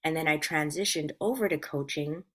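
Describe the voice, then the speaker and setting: A woman's voice: low voice, brisk, fairly bright tone. A woman from Hawaii, around her thirties or forties, speaking as a guest in a reflective, informal, conversational way. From Hawaii, USA. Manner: reflective, informal, uses "like" often. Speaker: guest, life coach and former therapist. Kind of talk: casual personal storytelling.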